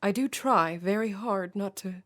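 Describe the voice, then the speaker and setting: A woman playing a haunted, secretive and gravely urgent intellectual with formal, theatrical diction in a radio horror drama. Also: medium pitch, a little slow, a bit bright, close to an everyday voice. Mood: secretive, weary.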